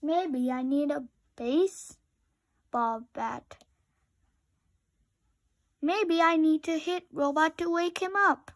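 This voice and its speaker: A woman voicing a fussy, excitable young male rabbit in a children's storybook, exclaiming and wailing in exasperation. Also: high voice, slow, pauses often, no room sound, clean studio sound, pitched above the performer's own voice. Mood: pondering.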